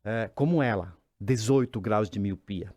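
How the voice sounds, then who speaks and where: Animated man telling stories. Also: fairly low pitch, unhurried, dry recording. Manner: animated storyteller, acts out quotes. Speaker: co-host. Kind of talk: casual studio talk.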